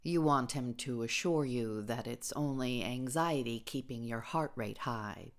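A woman with an exceptionally low voice reads literary fiction. Her delivery is slow and deliberate, with a marked creak running through the measured narration. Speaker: story reader.

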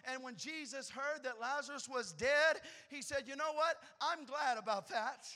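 A man preaching a sermon in a church, moving from quiet teaching to shouted, fervent prayer. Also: very high voice, moderate pace. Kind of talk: sermon with altar call prayer. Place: church sanctuary.

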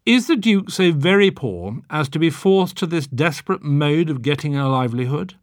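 A man's voice: on the high side, expressive, moderate pace, no room sound, clean studio sound, lightly acted. A man voicing a poor English fisherman, meek and plaintive, pleading in an audiobook. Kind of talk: audiobook character voice.

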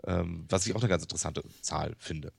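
A man co-hosting a casual tech podcast over an internet call, talking quickly as he explains things. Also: low voice, creaky, breathy edge, no room sound. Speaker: podcast co-host. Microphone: headset over a Skype call.

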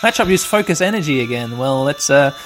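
A man in his thirties with a slightly hoarse voice, hosting a let's play with rambling, sweary, mock-dramatic gameplay commentary. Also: on the high side, lively intonation, fairly quick. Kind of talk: casual gameplay commentary with nostalgic asides. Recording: music playing behind the voice. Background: music.

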